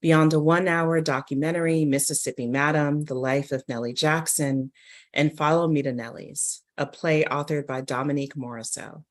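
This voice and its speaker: A woman giving a deliberate, measured literary reading of scripted narration and poetry. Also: deep voice, fairly even intonation.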